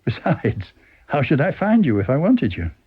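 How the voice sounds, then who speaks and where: A man's voice: medium pitch, huge pitch swings, moderate pace, breathy edge, narrow, tinny audio, full character voice. A man voicing a reserved, politely wary bachelor with a hesitant, stammering manner in a dramatised story reading.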